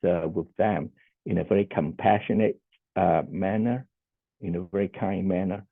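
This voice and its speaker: Sex: man. Pitch: very deep. Sound: gravelly, husky, phone-like. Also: dry recording. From Hong Kong. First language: Cantonese. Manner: measured, deliberate, marks each point with "okay". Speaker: social worker. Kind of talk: conference presentation. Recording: tinny phone-like line, dry.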